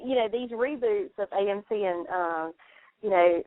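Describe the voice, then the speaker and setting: A woman's voice: medium pitch, normal speed, dry recording. Woman, tinny telephone sound, very dry, casual radio chat, effusive, opinionated, long-winded. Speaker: radio show panelist and soap fan. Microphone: telephone call. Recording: narrow, tinny phone line.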